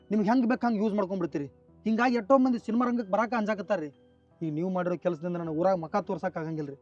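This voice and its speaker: ಉತ್ತರ ಕರ್ನಾಟಕದ ಉಚ್ಚಾರಣೆಯ ಒಬ್ಬ ಯುವಕ, ಮೋಸ ಹೋದ ಹಳ್ಳಿ ಹುಡುಗನ ಪಾತ್ರ ಮಾಡುತ್ತಾ ಆಕ್ರೋಶದಿಂದ ಆರೋಪಿಸುತ್ತಾನೆ. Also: male voice, high-pitched, a little mellow, far from the mic, close to an everyday voice. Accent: North Karnataka Kannada. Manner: pleading, accusing, voice breaking. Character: cheated young village man dreaming of stardom. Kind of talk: short film drama. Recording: slightly dull with faint music.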